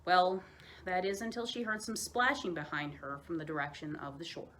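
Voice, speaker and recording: A woman narrating a children's story aloud as a storyteller, in an expressive, dramatized way. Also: on the low side, moderate pace, a little hiss or hum.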